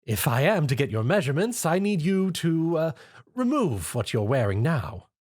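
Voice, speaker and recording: A man's voice: on the high side, sing-song, normal speed, darkish tone, voice pushed up. A man voicing a prim, refined fashion-designer mare with a posh, theatrical manner. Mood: fussy.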